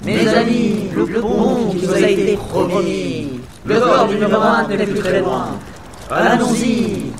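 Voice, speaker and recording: A man playing a grandiose, solemn leader of cult questers in a comedic audio serial, declaiming slowly. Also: high-pitched. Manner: slow, declamatory. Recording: echoey, loud crowd behind.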